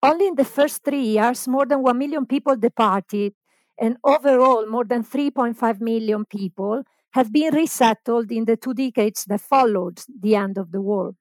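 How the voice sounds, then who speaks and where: A woman hosting a podcast, introducing her guest and reading historical background in a steady, informative way. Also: on the high side, normal speed, no echo, poor audio. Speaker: podcast host.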